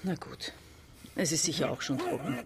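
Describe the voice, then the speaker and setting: A woman's voice: sits low, wildly swooping pitch, moderate pace, noisy background, full character voice. An actress playing a frustrated, educated middle-aged woman in a radio play, reproachful and insistent. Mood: anxious, insistent. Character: embittered, frustrated educated woman around forty. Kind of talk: radio play dialogue and monologue.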